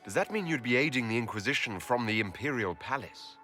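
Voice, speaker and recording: A man's voice: medium pitch, expressive, a little fast, bright, forceful tone. A man playing a guarded, direct fantasy hero in a video game, questioning in a formal manner. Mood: guarded, inquiring. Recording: music under the voice.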